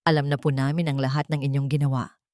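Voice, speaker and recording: A woman's voice: extremely low pitch, little pitch movement, fast talker, a little mellow, no echo. A loyal family lawyer in a melodrama story, voiced by a woman narrator. The manner is formal and polite. The sound is dry and close. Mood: stern.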